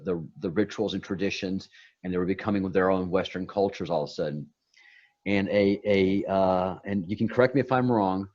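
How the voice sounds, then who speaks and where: Man, low voice, rambling spiritual chat over a muffled call line, restarts and repeats. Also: a little mellow. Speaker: guest on a meditation talk.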